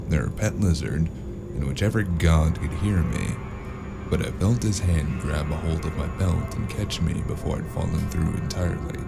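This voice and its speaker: Male actor as world-weary noir sleuth, low pitch, heavy fry, dry sarcasm, first-person audio drama narration, music behind. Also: heavily acted.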